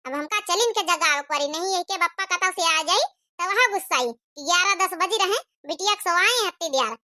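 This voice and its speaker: A scolding rustic mother from a village cartoon, extremely high and childlike in pitch. Her Awadhi accent comes through a sharp, piercing tone. She frets and exclaims as she nags, recorded very dry. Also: lively intonation, moderate pace, no echo, full character voice. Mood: grumbling. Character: scolding, fretful rural Indian mother of a grown daughter. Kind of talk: cartoon village comedy dialogue.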